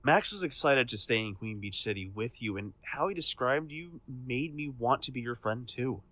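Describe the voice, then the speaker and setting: A man playing a proud, defiant supervillain with a soft side in an audio drama, speaking emphatically and earnestly. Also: mid-range voice, animated, moderate pace.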